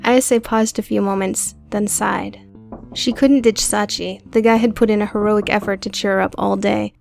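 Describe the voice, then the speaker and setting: A woman's voice: mid-range voice, fairly even intonation, normal speed, creaky, a little mellow, soft background music. A woman reading scripted fiction as an audiobook narrator.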